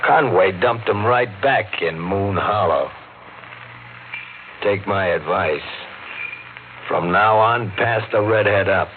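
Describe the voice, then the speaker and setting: Slow, low, grim warning man. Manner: deliberate, ominous advice-giving. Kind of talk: 1950s radio drama acting. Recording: muffled, very noisy old radio recording.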